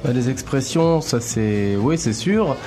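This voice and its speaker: A man's voice: mid-range voice, expressive, unhurried, darkish tone, faint music behind. A man from France, talking as a guest in a casual chat, hesitant and full of fillers. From northern France. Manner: hesitant, many fillers and restarts. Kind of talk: casual conversation.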